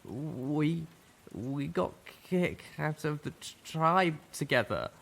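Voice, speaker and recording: A man voicing a shaken young male zebra, speaking in halting, choked phrases. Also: on the high side, lively intonation, vocal fry, a bit bright, pitched above the performer's own voice, exaggerated voice. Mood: grieving.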